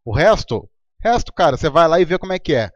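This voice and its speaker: Brazilian male pastor, high animated voice, dry close sound, chatty sermon delivery. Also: fast talker, no echo, muffled recording. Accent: Brazilian Portuguese. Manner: conversational preaching with anecdotes.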